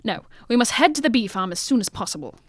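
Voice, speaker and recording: A shrewd gentleman detective acted by a woman in a radio mystery drama, composed and commanding. The voice is on the high side and recorded close and dry, with formal, measured, old-world phrasing. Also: big pitch swings, no room sound. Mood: brisk command.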